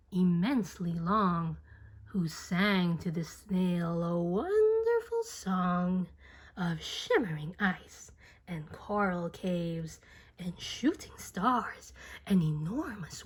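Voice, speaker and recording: A woman reading a children's book aloud as expressive rhyming narration, deliberate and singsong, drawing out words. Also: fairly low pitch, husky.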